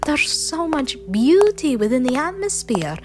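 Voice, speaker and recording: A woman playing a curious, eager young travelling companion in a fan audio drama, inquisitive and expressive. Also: high-pitched, a little slow. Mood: awed, excited. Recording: voice over loud music and effects.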